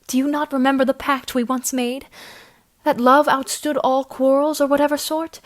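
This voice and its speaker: Actress for lovelorn young lady in period audio drama; high voice, devoted, stagey formal diction. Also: little pitch movement, moderate pace, close to an everyday voice. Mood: pleading, tender.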